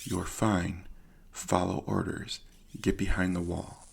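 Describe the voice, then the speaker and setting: Guy playing a tough woman soldier, husky and gravelly, curt controlled orders, audio drama. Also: low voice, flat delivery, takes it slowly, full character voice. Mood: firm. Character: defiant battle-hardened woman soldier giving firm orders.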